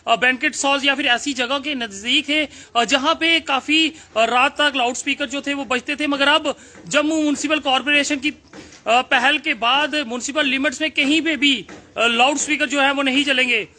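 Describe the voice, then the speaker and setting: A male news presenter delivers a report straight to viewers. His voice sits extremely high for a man and has a very sharp, forceful edge. He phrases things emphatically, circling back over his key points. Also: fairly even intonation, moderate pace.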